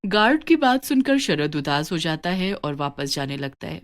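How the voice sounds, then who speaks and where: Expressive woman storyteller. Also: low voice, normal speed. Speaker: narrator. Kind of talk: scripted storytelling narration.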